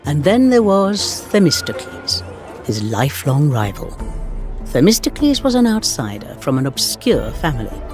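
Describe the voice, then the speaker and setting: Male narrator, fairly high pitch, scripted historical storytelling, measured and wry delivery, musical backing. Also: huge pitch swings. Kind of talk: scripted dramatic historical narration for game cutscenes. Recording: music under the voice. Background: background music.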